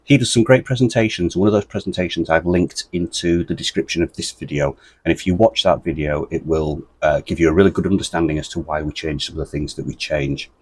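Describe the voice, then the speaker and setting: British guy with a real low bassy voice walking you through radio settings, dry close mic. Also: a little fast, husky, no room sound. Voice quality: deep, bassy voice. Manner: steady, methodical, explains with fillers. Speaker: ham radio tutorial presenter. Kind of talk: step-by-step technical tutorial.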